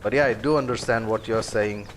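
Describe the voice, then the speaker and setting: A man's voice: lowish voice, a little fast, slight background noise. A man casually commenting on his game during a live stream, rambling along with many fillers. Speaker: gameplay streamer.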